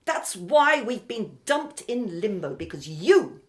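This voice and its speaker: A woman's voice: medium pitch, sing-song, slow pace. A woman playing a bitter, scornful young woman in a radio drama, accusing and emphatic in her delivery. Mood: furious accusing.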